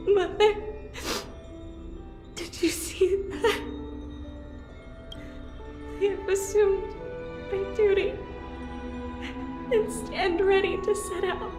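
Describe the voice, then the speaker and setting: Resolute young game heroine, high voice, very slow solemn declamation, music behind. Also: female voice, little pitch movement, heavily acted. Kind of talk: dramatic game cutscene acting. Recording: echoey, with clear music behind.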